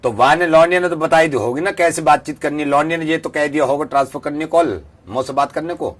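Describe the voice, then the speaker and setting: A man voicing a self-assured rustic fake professor with a rural western Uttar Pradesh accent, rambling and repeating himself deadpan in a prank call. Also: a little high, quick pace, pitched above the performer's own voice, close to an everyday voice. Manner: rambling, repetitive, bluntly folksy.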